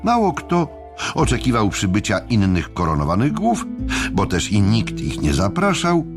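A man's voice: lowish voice, wildly swooping pitch, a little slow, music in the background. A man narrating an audiobook drama from a script, with theatrical, wry storytelling.

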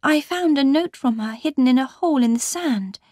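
Storybook young lady voiced by a woman, high-pitched, anxious, indignant, exclamatory. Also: animated, moderate pace, a little mellow, no echo, clean studio sound. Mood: anxious, urgent. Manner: distressed, exclamatory. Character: frightened young gentlewoman, emotional and breathlessly indignant.

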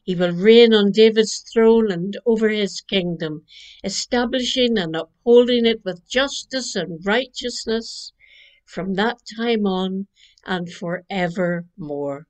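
Older lady minister, slow gentle reflection, recorded on a webcam in her living room. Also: medium pitch. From Northern Ireland. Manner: slow, gentle preaching cadence. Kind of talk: Christmas Eve reflection. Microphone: webcam at desk distance.

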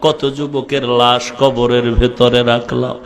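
A man with a Bangladeshi accent preaching an Islamic sermon, impassioned and pleading, moving between drawn-out chanted cries and plainer storytelling. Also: mid-range voice, little pitch movement, creaky. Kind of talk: emotive Bengali waz sermon with chanted, sung-out lines.

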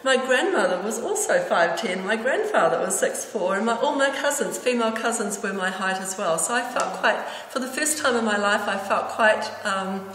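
A woman in her sixties from Auckland speaks with a New Zealand accent, having grown up with Danish first. She recounts personal memories at a middling pitch. The indoor recording is very echoey, with faint noise behind her. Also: animated, normal speed, few pauses, fairly bright tone. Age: 60s. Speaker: interviewee. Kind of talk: personal reminiscence to camera. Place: indoors, hall-like room with hard surfaces. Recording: echoey reverberant room, clear background noise.

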